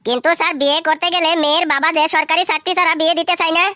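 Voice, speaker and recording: A performer voicing a cheeky, quick-witted schoolboy prankster with a squeaky, artificially high voice in a comedy cartoon. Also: steady tone, sharp tone, no room sound, studio quality. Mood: cocky.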